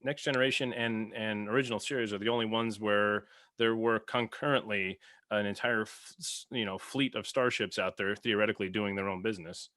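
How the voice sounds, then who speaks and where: Halting man on a dry mic. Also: lowish voice, fairly even intonation, a bit bright, no echo. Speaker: podcast co-host. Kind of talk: casual panel chat.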